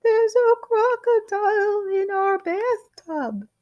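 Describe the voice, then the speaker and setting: Easily alarmed housewife and mother for a picture book, high and theatrical, exclamatory played by a female reader. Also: slow pace, dull audio. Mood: hushed alarm.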